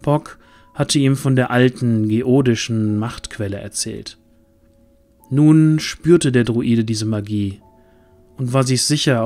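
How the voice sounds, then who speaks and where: A man's voice: mid-range voice, a little mellow. A man narrating a scripted fantasy audio drama in a dramatic storytelling style.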